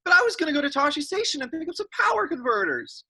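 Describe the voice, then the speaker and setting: A woman's voice: high voice, very animated, fast talker, bright, forceful tone, full character voice. A woman playing a restless, frustrated young farm boy in a casual way, in a script read. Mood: grumbling. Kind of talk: virtual script table read.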